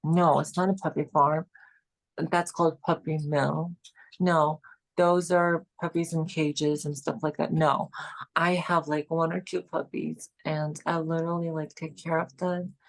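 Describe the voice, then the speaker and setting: A woman's voice: low voice, flat delivery, measured pace, rough recording. A Georgian woman in her late twenties chatting casually as a guest, rambling and saying "like" a lot. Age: 20s. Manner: rambling, filler-heavy, keeps saying "like". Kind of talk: casual chat.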